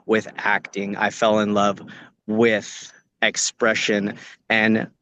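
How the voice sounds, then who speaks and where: American guy actor answering questions, bright forceful voice, earnest and casual. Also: lowish voice, a little hiss or hum, poor audio. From Orange County, California. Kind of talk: casual spoken advice to acting students. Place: outdoors on location, on a video call.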